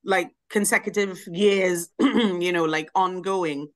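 A woman's voice: fairly low pitch, fairly even intonation, takes it slowly. A woman speaking on a video call, explaining history casually with lots of hedging fillers. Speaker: webinar speaker.

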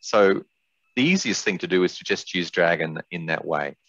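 An older man presenting a webinar in a measured, explanatory way, as an expert walking through software. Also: deep voice, expressive. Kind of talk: webinar presentation with product demonstration. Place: indoors, small room on a video call. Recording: dull, roomy webinar audio.

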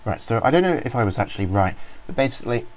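A man casually talking through a software demo, rambling with many fillers and restarts. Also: medium pitch, very animated, very fast. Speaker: software reviewer. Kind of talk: informal screen-recorded walkthrough.

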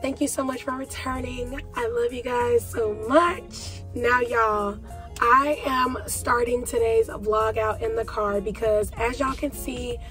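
A young woman talks straight into her phone from a parked car, chatty and familiar, calling her viewers y'all. Her voice sits a little high but sounds stuffed up and half gone from a cold. Soft music runs faintly underneath. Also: steady tone, a little slow. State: congested with a cold. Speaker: vlogger. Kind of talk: casual vlog chat.

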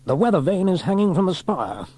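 A commanding male fire station officer, acted out by a male reader for a children's story. His voice is pitched well up and swings boldly, hearty and full of authority as he issues orders and praise. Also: big pitch swings, a little fast, mellow, breathy edge, heavily acted. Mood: brisk, concerned.

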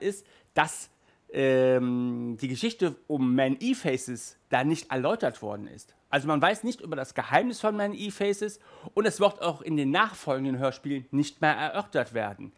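A man in his thirties giving a chatty, animated video review from a small room, speaking into a headset mic. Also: on the high side, moderate pace. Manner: chatty and animated, gestures with hands. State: hot and sweaty in a stuffy room. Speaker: audio drama reviewer. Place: small room with toy shelves, indoors.

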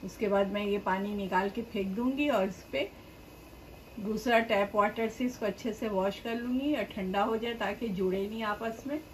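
A woman's voice: mid-range voice, a little mellow, rough recording. Woman explaining a recipe over sizzling pans. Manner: patient, repeats steps, addresses viewers directly. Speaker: cooking show host. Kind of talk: step-by-step cooking demonstration. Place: home kitchen, voice over stovetop sizzling. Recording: audible frying and stirring noise.

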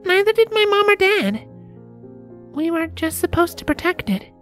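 A woman's voice: extremely high pitch, very animated, normal speed, a bit bright, soft background music, pitched above the performer's own voice, exaggerated voice. A woman voicing a timid little filly pony in a storybook reading, shy and hesitant. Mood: timid, unsure. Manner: shy, hesitant, small-voiced.

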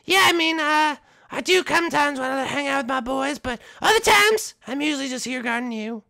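A man's voice: very high-pitched, lively intonation, brisk, vocal fry, pushed and bright, no room sound, clean studio sound, pitched above the performer's own voice, full character voice. A man voicing a small mushroom guard in a game roleplay, self-assured, boastful and devoted.

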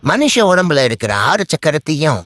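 A man's voice: a little high, sing-song, normal speed, a bit bright, no echo. A man voicing a cheeky, boastful male animal in a comic dub, with colloquial, mock-dramatic delivery. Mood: grumbling. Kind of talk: comic dubbing of funny animal clips. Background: music bed.

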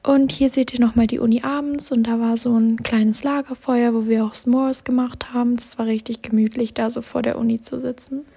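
A woman in her twenties from southwestern Germany, narrating a student vlog in a relaxed, chatty voice-over. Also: a little high, a little fast, vocal fry, few pauses, husky. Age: 20s. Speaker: student ambassador vlogger.

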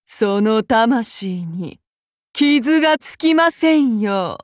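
A cold, devoted samurai woman of demon blood, speaking in slow, courtly archaic phrases. Her voice sits a little high and stays soft, composed and unhurried. The recording is dry and close, with no room sound. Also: animated, no room sound. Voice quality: formal, archaic courtly speech. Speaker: game character voice actress. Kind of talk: game character voice lines.

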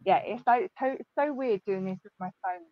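A woman's voice: mid-range voice, a little fast, dry recording. Young woman, streamer host, chatty, laughs a lot, dry, muffled audio. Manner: chatty, apologetic, laughs often. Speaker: livestream host. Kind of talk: casual livestream chat. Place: home room with shelves. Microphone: desk microphone on a stand.

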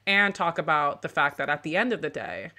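A woman's voice: low-pitched, animated, bright, forceful tone. A young woman co-hosting a political discussion show over a video call, talking passionately with many fillers. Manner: fast, passionate, filler-heavy. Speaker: show co-host. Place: home room with bookshelves. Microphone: video call with earbuds.

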